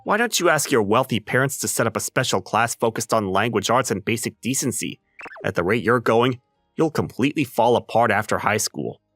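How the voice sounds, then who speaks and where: A man voicing an unbothered, sardonic high school underdog in an anime-style comic dub, with a dry, mocking delivery. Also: expressive, fairly quick, a bit bright, no echo, faint music behind. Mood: mocking deadpan.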